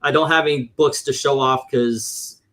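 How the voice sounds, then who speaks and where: A man's voice: medium pitch, steady tone, moderate pace, sharp tone. A man reviewing comic books in casual, opinionated panel chat, rambling and swearing, acting out his exasperated reactions.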